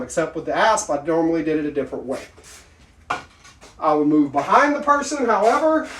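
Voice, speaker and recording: A man's voice: sits high, a bit bright. A man giving a blunt, plainspoken self-defense lesson in a casual way, asking his training partner questions as he demonstrates. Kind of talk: casual instructional demo. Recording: echoey room, mic far off.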